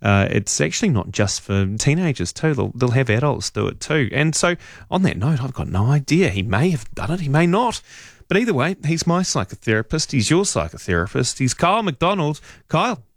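A man's voice: mid-range voice, very animated, a little fast, no room sound, studio quality. A middle-aged man hosting a radio talk show, chatting and rambling with fillers and restarts. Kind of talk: casual radio chat. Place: radio studio.

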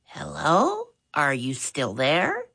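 A woman voicing a loud, squeaky, hard-of-hearing elderly woman who talks slowly and fussily in a storybook reading. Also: huge pitch swings, breathy edge, exaggerated voice. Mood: fussy, doting. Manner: slow, loud, fussy, doting. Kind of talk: storybook read-aloud.

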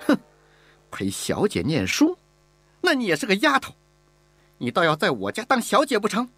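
A man voicing a stern, haughty woman who runs a rich household, speaking with scorn and command in a husky voice. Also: high voice, wildly swooping pitch, moderate pace, a bit bright, dry recording, faint music behind, voice pushed up, full character voice. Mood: scornful.

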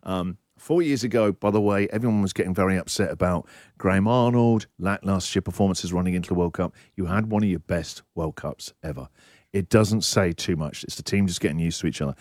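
A man hosting a breakfast radio show, talking in an excitable, emphatic, rambling way with lively banter. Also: low-pitched, fairly quick, dry recording, clean studio sound. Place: radio studio.

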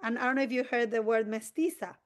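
A woman speaks as an interview guest. Her voice sits fairly high, and she explains things at length in an earnest, enthusiastic way, with the odd quick restart. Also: steady tone. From Argentina. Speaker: community organisation president.

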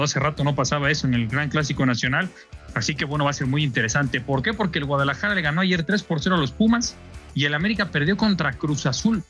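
A man from Mexico giving a friendly sports news report, pausing now and then with fillers. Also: a little high, a bit bright.

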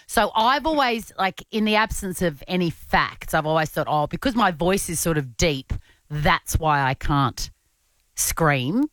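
A woman hosting a radio show, chatting casually with a low-register voice and frequent laughs. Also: a little slow, no room sound. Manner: chatty, laughs often, lively. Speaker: radio host. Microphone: studio mic.

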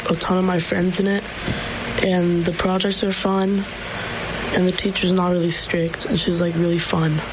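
A woman's voice: on the low side, little pitch movement, noisy background. Casual teenage girl student. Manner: quick, casual, uses "like". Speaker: student guest.

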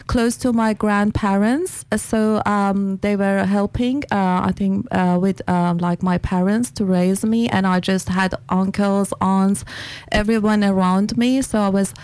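Persian-accented female relationship coach, medium pitch, halting and thoughtful. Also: fairly even intonation, a little mellow, clear, resonant tone, dry recording, low-quality recording. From Iran. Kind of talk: panel discussion.

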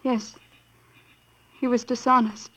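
An actress playing a gentle, curious young woman who minds a country gas station, in 1940s radio drama style. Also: a little high, flat delivery, slow. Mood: worried.

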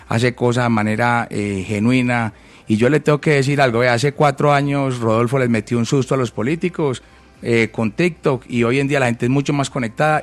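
A man from Colombia, speaking as a presidential candidate in a heated debate, blunt and insistent. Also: mid-range voice. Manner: blunt, insistent, interrupts often.

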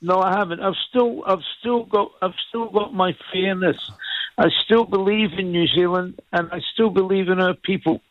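A man in his seventies with a Scottish accent, calling in to a talk radio show, speaking earnestly and haltingly. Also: high-pitched, no room sound, highs cut off. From Scotland. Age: 70s. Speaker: caller.

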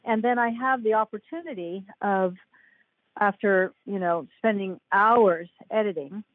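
A woman's voice: on the high side, no room sound. An older woman, a podcast guest and author, chatting casually and rambling a bit with fillers. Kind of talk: casual interview chat. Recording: tinny phone-like line, dry.

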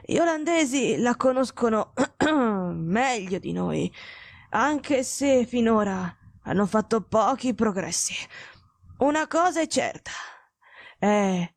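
A woman voicing a gravely ill, imperious male detective in an audiobook, weak, hoarse and halting. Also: a little high, animated, no room sound, full character voice. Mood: feverish, gasping. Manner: curt, commanding, halting.

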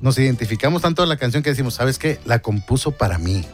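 Chatty radio host, music faint. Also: male voice, mid-range voice, animated, fast. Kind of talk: casual radio chat.

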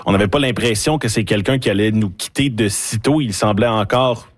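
A man leads a studio discussion in a low, steady voice. He speaks in a measured way, with frequent pauses and fillers. The sound is close and dry. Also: dry recording. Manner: measured, steady, many hesitations. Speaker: radio show host. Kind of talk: hosting a panel discussion.

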